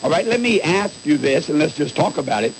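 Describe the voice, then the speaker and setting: Higher-voiced male radio preacher, hissy broadcast. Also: sing-song, brisk, vocal fry, low-quality recording. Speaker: radio call-in preacher and host. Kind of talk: live religious call-in radio talk.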